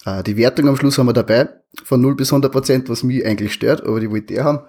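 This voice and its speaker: A man with an Austrian accent, a guest who reviews games as a hobby, answering questions in a relaxed, chatty way. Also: mid-range voice, fast talker, slight background noise. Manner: relaxed, laughs between sentences. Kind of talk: casual interview.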